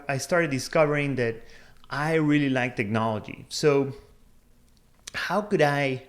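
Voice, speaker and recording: A man in his thirties, born in Peru, talking casually to camera about career choices in a thoughtful, earnest way, with frequent restarts and fillers. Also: mid-range voice. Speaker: career advice video host. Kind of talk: casual personal talk to camera.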